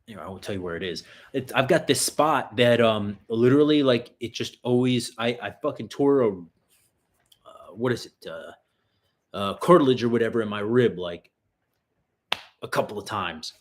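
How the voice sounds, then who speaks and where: Brief: man from the New York tri-state area with a faint local accent, casual cursing stream host, mid pitch. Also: animated, normal speed. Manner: loose and profane, rambling with fillers. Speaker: livestream host answering viewer questions. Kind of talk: casual livestream Q&A.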